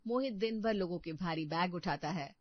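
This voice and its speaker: A woman's voice: lowish voice, moderate pace, no room sound, dull audio. Woman storyteller, dry dulled recording. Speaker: narrator. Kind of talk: scripted Hindi story narration.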